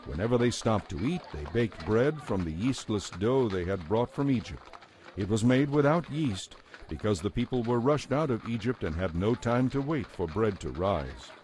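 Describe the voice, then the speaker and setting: A man narrating scripture in a solemn, measured and authoritative way, as part of a dramatized reading. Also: lowish voice, expressive, a little mellow, slight background noise. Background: background music.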